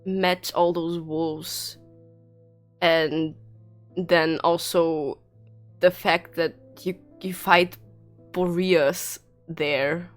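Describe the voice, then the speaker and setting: A woman comments casually on a game she is streaming. Her voice sits a bit low and moves slowly, halting as she muses aloud. Faint game music runs behind her. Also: steady tone. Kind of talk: casual live playthrough commentary.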